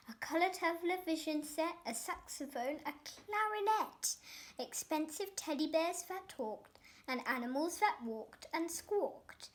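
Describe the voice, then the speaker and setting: A child storyteller giving an expressive reading of a rhyming story. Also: sits high.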